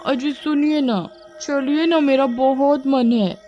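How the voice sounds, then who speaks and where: A woman's voice: high-pitched, steady tone, slow pace, dull audio, soft background music, pitched above the performer's own voice. A woman voicing an eager young wife in a cartoon horror story, coaxing and exclaiming expressively. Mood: pleading. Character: eager young wife, playful and pleading, later terrified.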